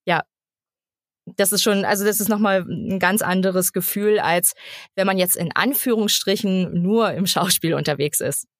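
A thirty-year-old woman from eastern Germany chatting casually as an interview guest, halting and giggly as she searches for words. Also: mid-range voice, no room sound, studio quality. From eastern Germany (Lower Lusatia). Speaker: guest, actress and voice actor.

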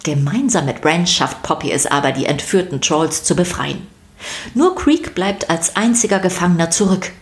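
A woman with a fairly low voice narrates a scripted podcast for children. She speaks to her listeners directly, in a playful storytelling manner. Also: animated, normal speed.

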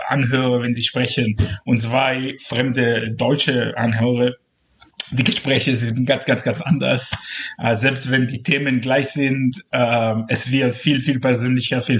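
A man's voice: medium pitch, a bit bright. Man talking casually, slightly dull sound. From Israel. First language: Hebrew. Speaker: interview guest, journalist. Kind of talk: casual personal conversation.

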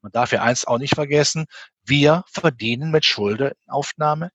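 A man's voice: medium pitch, normal speed, dull audio, background noise. A man from the Rhineland giving his opinion as an interview guest, speaking emphatically and argumentatively with many rhetorical questions. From Rhineland, western Germany near the Belgian and Dutch border. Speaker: interview guest commenting on economics and finance. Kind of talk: animated spoken opinion in an interview.

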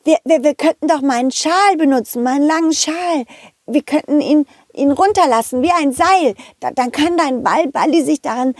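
A woman doing an excited, stammering little boy. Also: sits high, moderate pace, no echo, studio quality, voice pushed up, lightly acted.

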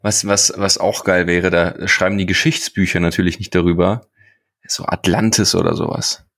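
A man with a deep, low-pitched voice co-hosts a podcast. He banters casually and jokingly, often repeating a word or two before the sentence gets going. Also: fairly even intonation, moderate pace, a bit bright. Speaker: podcast co-host.

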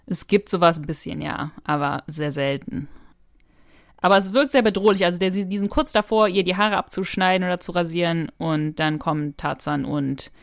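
A woman with a slightly low voice speaks as a podcast co-host. Her talk is casual and conversational, recorded dry with no room sound. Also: steady tone, normal speed, no room sound.

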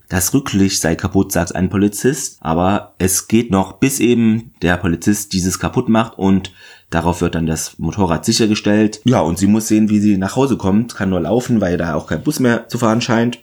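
A man's voice: low voice, a little mellow. A man hosting a podcast, recapping a TV episode in a casual, rambling way while stuffed up with a cold. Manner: chatty, rambling, self-correcting. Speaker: podcast host.

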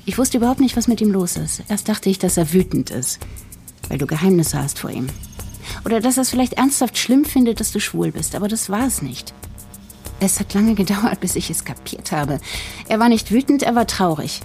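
A woman's voice: medium pitch, lively intonation, fairly quick, dark tone, close to an everyday voice. Actress doing a cruel snobby politician lady in an audio drama, coolly condescending, faint music behind her. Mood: confiding, pointed. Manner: condescending, coolly matter-of-fact. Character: cold, snobbish, scheming female politician with cruel candour.

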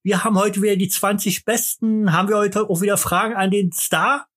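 A man with a high-pitched voice chatting loosely on a podcast, rambling from topic to topic and cracking jokes. He sounds a little tipsy, drinking as he talks. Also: fairly even intonation, brisk, fairly bright tone. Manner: rambling, joking, drinking during the show. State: a bit tipsy. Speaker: podcast co-host. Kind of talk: boozy casual podcast banter.

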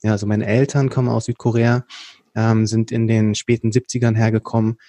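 A man from Berlin, a podcast guest, telling his story in a casual chat, often pausing with fillers and restarting sentences. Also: on the low side, flat delivery, mellow, no room sound. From Berlin, Germany. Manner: explains at length with frequent hesitation fillers and restarts. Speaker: podcast guest, company co-founder.

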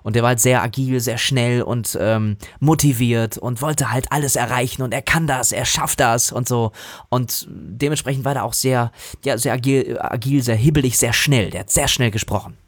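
German voice actor, dry close sound. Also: male voice, fairly even intonation, normal speed, dry recording. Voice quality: relatively high voice. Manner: thoughtful, hesitant, many fillers and restarts. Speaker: voice actor guest. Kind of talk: casual interview talk.

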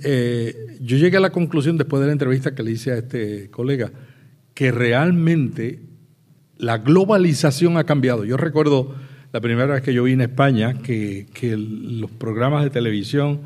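A man from Puerto Rico speaking on a conference panel, telling anecdotes with pauses and restarts. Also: medium pitch, warm tone. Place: conference hall with audience.

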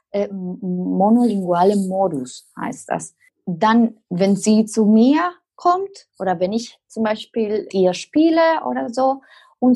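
Woman, Spanish native speaker, Spanish accent, gravelly voice, hesitant explaining. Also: mid-range voice, dark tone. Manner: explains slowly with many fillers and restarts. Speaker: guest. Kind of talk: interview answer.

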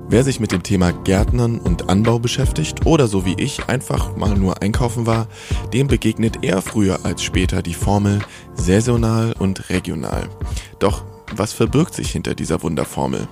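Very deep-voiced male podcast host. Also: lively intonation, normal speed, gravelly, music in the background. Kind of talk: scripted podcast narration.

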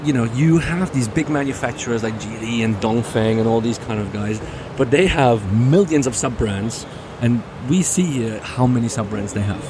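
A man talks about cars at a motor show, stumbling over his words and repeating himself. His pitch is middle of the road. The noise of the busy hall comes through clearly. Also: moderate pace, few pauses. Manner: hesitant, lots of fillers and repeats. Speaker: car show reviewer. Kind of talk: casual walkaround chat. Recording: noisy show floor.

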